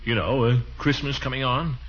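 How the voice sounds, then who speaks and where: An actor playing a pompous, easily flustered, flirtatious middle-aged uncle in a 1940s radio comedy, blustering and hesitant. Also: male voice, medium pitch, fairly even intonation, moderate pace, fairly bright tone, husky. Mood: casually scheming. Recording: muffled, noisy 1940s radio recording.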